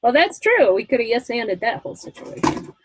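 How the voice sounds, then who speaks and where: A woman's voice: a little high, sing-song, fairly quick, a little hiss or hum. A woman actor in a casual creative discussion, agreeable and tentative as she offers ideas. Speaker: improv audio drama actor.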